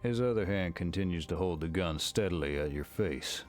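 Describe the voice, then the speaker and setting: Game narrator, male: low voice, scripted storytelling in second person, faint music behind. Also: animated, normal speed.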